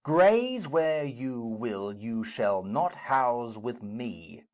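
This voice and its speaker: A man playing a furious, domineering father in a Shakespearean dramatic reading, with emphatic, halting delivery. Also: medium pitch, very animated. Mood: furious, threatening. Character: furious domineering father threatening to disown his daughter.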